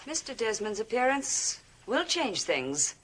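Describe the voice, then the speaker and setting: A probing, animated gothic schemer. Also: female voice, on the high side, exaggerated voice. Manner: questioning, deliberate. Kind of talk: gothic television soap acting.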